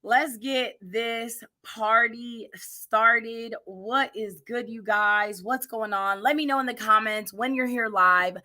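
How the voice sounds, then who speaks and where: A woman in her thirties hosting an energetic motivational live stream, talking straight to her viewers, her voice a little raspy today. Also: a little high, slow pace, few pauses. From United States. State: slightly raspy voice. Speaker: livestream host.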